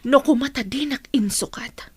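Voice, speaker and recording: A woman's voice: high voice, normal speed, husky. A woman playing a long-suffering, aggrieved mother in a radio drama, speaking in an earnest and weary way. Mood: weary, firm.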